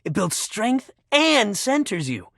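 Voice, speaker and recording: Young sporty guy, very animated swooping pitch, friendly, sensitive, casual bro talk, video game character, close dry sound. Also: slow, creaky, dry recording, heavily acted. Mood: upbeat, encouraging.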